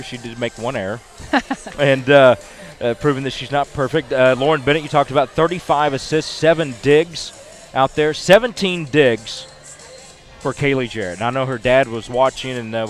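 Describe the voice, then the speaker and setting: A man's voice: medium pitch, a little fast, soft background music. An older man hosting a postgame interview on a headset mic, chatty and full of stats. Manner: chatty, stat-heavy, upbeat delivery. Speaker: sports broadcaster.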